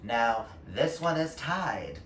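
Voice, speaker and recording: A man's voice: medium pitch, big pitch swings, slow pace, a bit bright, far from the mic. A man chatting casually to viewers about books in a gushing, emphatic way. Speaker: book reviewer on a vlog. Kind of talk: casual book chat to viewers. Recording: echoey room with faint background noise.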